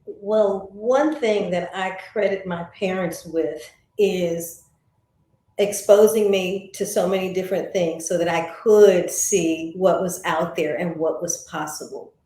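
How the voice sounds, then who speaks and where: A woman's voice: on the low side, unhurried, dark tone. An American woman being interviewed, telling personal stories in a conversational way and voicing remembered remarks. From St. Louis, United States. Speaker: interview guest, former broadcast journalist. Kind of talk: reflective interview storytelling. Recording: echoey room.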